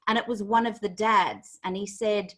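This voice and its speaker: A woman in her forties from Zimbabwe, a corporate trainer telling her story in an interview with lively acted-out quotes. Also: medium pitch, moderate pace.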